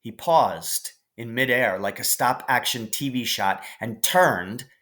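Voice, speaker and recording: Man, audiobook narration, measured and careful, unhurried slow pace, medium pitch. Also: pushed and bright. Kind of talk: scripted narration of a children's novel.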